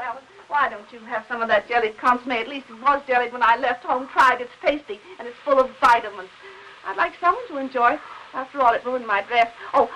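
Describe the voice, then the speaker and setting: A bright, high-voiced middle-aged woman chatters and fusses like a well-meaning aunt. She is talkative and gossipy, in the manner of an early-fifties Hollywood melodrama, on an old film soundtrack. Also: a little hiss or hum, rough recording.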